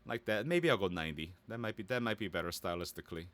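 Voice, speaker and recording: Bright, low-voiced man doing a casual tutorial. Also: animated, fast. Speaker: voice actor giving a website-building tutorial. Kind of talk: casual screen-share tutorial, thinking aloud.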